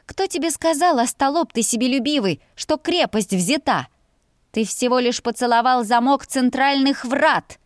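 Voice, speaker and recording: A woman voicing a proud, fiery young heroine, sharp, scolding and defiant, in an audiobook story. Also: high-pitched, expressive, unhurried, no echo, clean studio sound, pitched above the performer's own voice. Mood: indignant.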